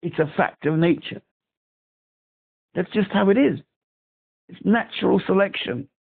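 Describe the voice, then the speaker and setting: A man's voice: sits high, measured pace, vocal fry, husky. A man from Britain calling a helpline, talking in a rambling, agitated way and repeating himself over a phone line. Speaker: caller. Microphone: telephone.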